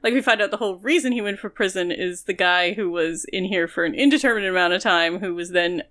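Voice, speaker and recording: A woman's voice: fairly low pitch, fast, fairly bright tone. Analytical woman in casual book discussion. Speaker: podcast co-host.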